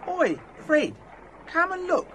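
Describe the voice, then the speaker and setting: An actor playing a cheeky crossing sweeper in a comedy radio play. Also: male voice, very high-pitched, huge pitch swings, slow pace, a bit bright, a little hiss or hum, heavily acted, low-quality recording.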